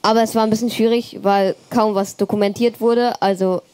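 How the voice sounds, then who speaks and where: A woman's voice: medium pitch, fairly even intonation, brisk, darkish tone, a little hiss or hum. A teenage girl explaining her search algorithm at a youth event, with some fillers. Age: teens. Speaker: student presenter.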